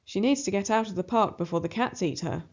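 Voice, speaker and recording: A woman narrating a children's storybook aloud in an expressive style. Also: mid-range voice, quick pace, muffled recording.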